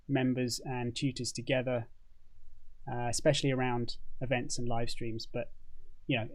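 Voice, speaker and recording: A British man hosting a laid-back livestream, speaking at a middle pitch into a condenser mic. He chats easily and loosely, piling in fillers and restarting his sentences. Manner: relaxed, chatty, with frequent fillers and restarts. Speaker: host. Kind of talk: casual livestream chat.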